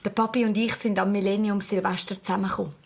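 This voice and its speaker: A woman's voice: medium pitch, flat delivery, fast, creaky. A woman playing an easygoing mother with a Swiss accent in a children's radio play, talking naturally. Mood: relaxed, fond. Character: easygoing mother of twins, gently amused.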